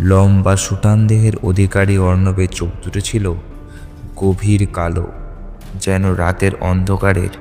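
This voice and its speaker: Low, mellow male storyteller in deep reverb. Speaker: narrator. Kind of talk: scripted horror story narration. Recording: long reverb with music underneath. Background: music.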